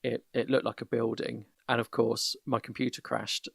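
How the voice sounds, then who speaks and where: Gravelly-voiced man hosting a podcast. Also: mid-range voice, level tone, a bit bright, no echo, clean studio sound. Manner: measured, many restarts, gives constructive feedback. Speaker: podcast host. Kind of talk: casual conversational critique. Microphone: voip call.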